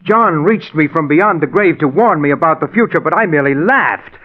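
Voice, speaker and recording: A man's voice: fairly high pitch, animated, fairly quick. Muffled old radio recording, frantic man, haunted, theatrical, emphatic, 1940s melodrama. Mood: anguished regret. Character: frantic, haunted man obsessed with cheating his foretold death. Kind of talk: 1940s radio drama acting.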